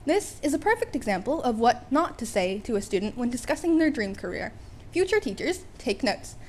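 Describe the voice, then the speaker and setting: High-voiced woman reading a comic story. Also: big pitch swings, fairly quick, a little mellow, slight background noise. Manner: wry, stumbles and rereads phrases. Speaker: amateur audiobook reader. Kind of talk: scripted comic story narration.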